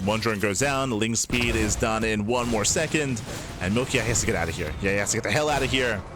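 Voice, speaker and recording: A man's voice: lowish voice, noisy background. Chatty Australian man casting live. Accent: mild Australian. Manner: fast, chatty, filler-heavy play-by-play. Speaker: esports caster. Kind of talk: live game commentary. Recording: music playing behind.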